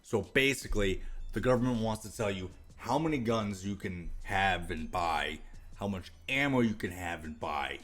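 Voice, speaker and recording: A man's voice: lowish voice, animated, moderate pace, fairly bright tone. An American man giving opinionated commentary, reading aloud and reacting with sarcastic, profane scorn. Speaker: video commentator.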